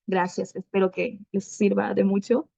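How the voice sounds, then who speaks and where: A woman presenting at an online event, speaking haltingly with pauses and restarts. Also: medium pitch, flat delivery, gravelly, warm tone, no echo. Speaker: student presenter. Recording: dull dry lo-fi sound.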